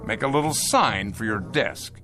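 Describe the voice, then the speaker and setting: Slow-talking, sardonic factory boss. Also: male voice, fairly low pitch, lively intonation, bright, forceful tone, soft background music. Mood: mocking. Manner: sardonic, mocking, deliberate. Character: ruthless, sarcastic factory boss obsessed with efficiency. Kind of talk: radio drama acting.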